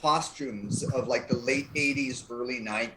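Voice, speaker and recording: A man chatting casually as a panel guest, with halting, hesitant phrasing. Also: medium pitch, a bit bright. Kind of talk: casual chat. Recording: echoey room with faint noise.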